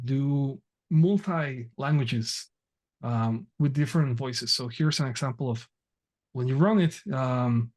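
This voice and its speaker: A man presenting an online technical workshop over a video call, talking haltingly with many fillers and restarts. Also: medium pitch, darkish tone.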